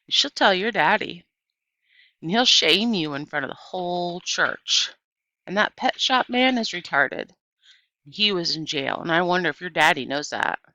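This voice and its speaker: Woman voicing tattling young boy, storybook character, bratty, taunting, bright forceful voice, dry recording. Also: lowish voice, animated, normal speed, dry recording, lo-fi sound. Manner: hollering, taunting.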